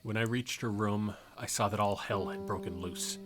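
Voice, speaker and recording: Haunted male reaper, played by an actor, lowish voice, subdued first-person audio drama narrator, prominent music underneath. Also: steady tone, moderate pace, close to an everyday voice. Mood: grave narrating. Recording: music behind the voice.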